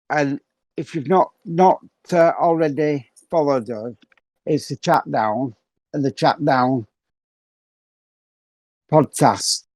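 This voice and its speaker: A man's voice: mid-range voice, darkish tone, no room sound, lo-fi sound. A middle-aged man hosting a podcast in a rambling, self-mocking casual chat, close to a dry mic. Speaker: podcast host.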